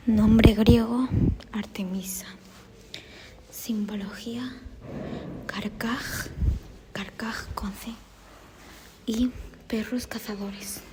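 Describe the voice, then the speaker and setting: A woman's voice: fairly high pitch, steady tone, drawn-out words, long pauses, noisy background. A woman dictating study notes aloud, often in a whisper, repeating items and spelling words out as she goes.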